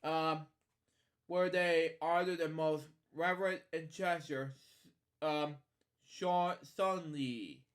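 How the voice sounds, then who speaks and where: Higher-voiced man, rambling casually. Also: steady tone, slow. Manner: digressive, opinionated commentary addressed to listeners. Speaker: podcast host. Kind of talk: casual rambling read-along of a children's storybook with asides.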